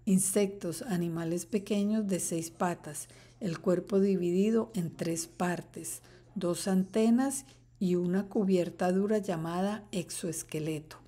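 A woman teacher reading a children's picture book aloud, slowly and carefully. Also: fairly low pitch, mellow. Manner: slow, careful read-aloud. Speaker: third-grade teacher.

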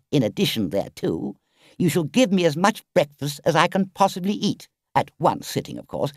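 A puffed-up toad haggles in high, exclamatory bursts, as a man reads him aloud. His voice leaps and swoops with vanity and excitement. It sounds dry and close to the mic. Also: dry recording. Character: boastful excitable male toad, vain and bargaining.

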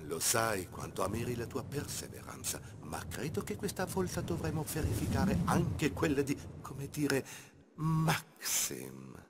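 A man's voice: wildly swooping pitch, measured pace, vocal fry, long pauses, heavily acted. A man playing a haughty, mocking older archaeologist rival in a fan-dubbed game cutscene, pompous and sneering. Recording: noisy, low-quality amateur dub.

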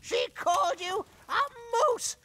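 An actor playing an excitable, hard-of-hearing old gentleman in a cartoon fairytale. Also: very high voice, slow, slight background noise, full character voice. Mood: confused. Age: older. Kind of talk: animated fairytale dub acting.

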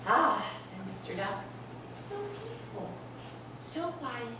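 A woman voicing a grumpy male duck who loves his quiet, in a storybook read-aloud. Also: on the high side, big pitch swings, slow. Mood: contented sigh. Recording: echoey, noisy classroom from far off.

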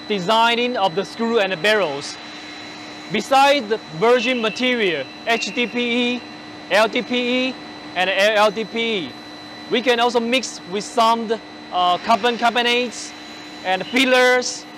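A man in his forties presenting machinery on a large factory floor, speaking haltingly with many fillers and restarts, using a lapel mic. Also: extremely high pitch, background noise. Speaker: company presenter on a factory tour. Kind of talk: product walkthrough.

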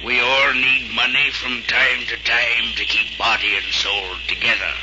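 An actor playing a pompous, haughty, fat silver-haired magician in a formal 1940s radio drama style. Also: male voice, mid-range voice, level tone, measured pace, loud music behind the voice, close to an everyday voice. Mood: disdainful. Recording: noisy, dull old radio recording.

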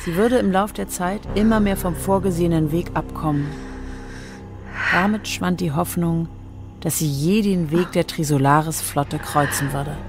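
A woman's voice: fairly low pitch, steady tone, normal speed, music in the background. A woman narrating a radio drama from a script.

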